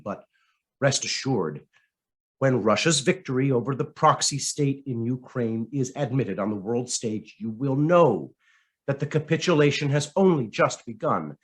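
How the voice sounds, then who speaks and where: A man reading a written essay aloud as scripted narration, in a measured, dramatic way with deliberate pauses. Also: mid-range voice. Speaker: reader of a written article.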